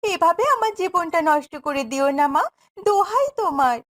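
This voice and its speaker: A woman's voice: very high voice, animated, moderate pace, fairly bright tone. A woman playing a meek, kind, hard-done-by young stepdaughter in a fairy-tale cartoon, with a plaintive, dutiful way of speaking. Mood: distressed pleading.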